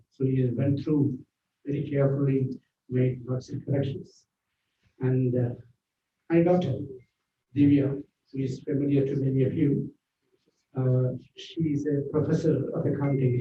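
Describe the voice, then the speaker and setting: A man's voice: fairly high pitch, warm tone. An older man whose first language is Malayalam, giving an earnest, rambling speech of thanks at his book launch, with many fillers and restarts. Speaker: author speaking at book launch. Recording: echoey hall, distant mic, dull and noisy.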